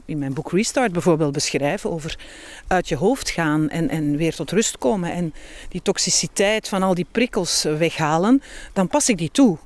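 A woman's voice: fairly low pitch, darkish tone, no echo. A woman with a Flemish accent chatting candidly and expressively as an interview guest. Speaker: guest, author and healthy-lifestyle speaker. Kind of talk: casual interview chat.

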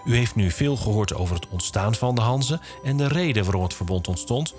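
Deep-voiced male podcast host. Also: expressive, brisk, darkish tone, soft background music. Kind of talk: podcast hosting.

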